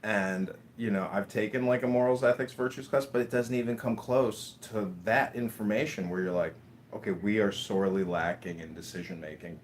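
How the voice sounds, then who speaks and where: A man's voice: on the low side, moderate pace, slight background noise. A man talking casually in long rambling stretches, swearing and laughing as he makes his points. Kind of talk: casual conversation.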